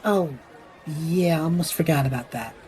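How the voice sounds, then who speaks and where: Casting: woman as wisecracking extrovert male adventurer, lowish mellow voice, lively delivery, audio drama. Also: a little slow, rough recording. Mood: casual, amused. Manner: flippant, wry, theatrical. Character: impulsive, chatty extrovert young male traveller, showman.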